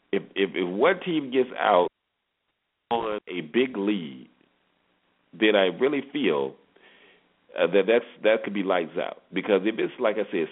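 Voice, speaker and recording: A man with a slightly low voice hosts a sports talk show on his own, heard through a thin, phone-like connection. He talks informally through his analysis and repeats phrases to drive points home. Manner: emphatic repetition of phrases. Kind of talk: informal solo sports commentary. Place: solo internet radio show. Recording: muffled phone-like internet radio audio.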